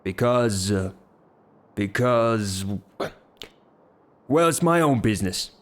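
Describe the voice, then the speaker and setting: Man playing a slow-talking blustering rustic gentleman. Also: on the low side, animated. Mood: hesitant. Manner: declamatory, indignant, theatrical. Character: blustering, hot-tempered rustic gentleman, secretly smitten. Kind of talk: theatrical audio drama acting.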